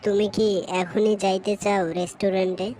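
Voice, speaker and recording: Office boss for amateur cartoon played by a woman: Bangladeshi accent, mid pitch, unhurried and coaxing, noisy audio. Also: close to an everyday voice. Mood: easygoing. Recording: hissy recording with steady ambient noise.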